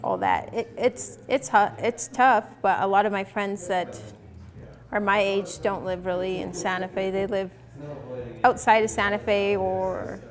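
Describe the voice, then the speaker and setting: A woman's voice: medium pitch, a little slow, crowd noise. A young woman talking in a tentative, conversational way in a casual documentary interview. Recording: faint music behind the voice.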